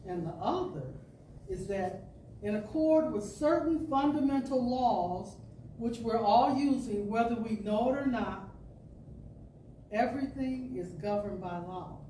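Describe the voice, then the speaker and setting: A woman with a fairly high, mellow voice reads an inspirational talk aloud. She goes very slowly, with deliberate phrasing and expressive pauses, in the manner of a storyteller. The sound is roomy and a little distant from the microphone. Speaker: storyteller reading a spiritual radio talk. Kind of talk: dramatic inspirational reading to an audience. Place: indoor spiritual center hall. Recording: roomy, distant mic with faint noise.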